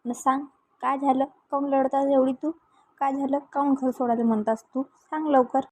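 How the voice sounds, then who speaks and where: A woman's voice: sits high, little pitch movement, moderate pace, warm tone, dry recording, natural voice. A woman with a Varhadi Marathi accent voicing a bossy, stern village mother who scolds in an amateur cartoon comedy. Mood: angry, scolding. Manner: scolding, repetitive commands.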